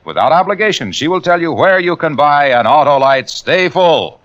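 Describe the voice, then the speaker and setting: A hearty, cheerful salesman, his bright, higher voice bouncing with energy. It is a 1940s radio commercial with a dull broadcast sound. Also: animated, normal speed. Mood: upbeat. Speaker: pitchman in a radio ad.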